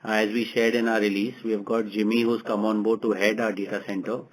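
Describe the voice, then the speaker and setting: A man answering as a company executive on an earnings call in a measured, halting manner. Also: fairly low pitch, little pitch movement, rough recording.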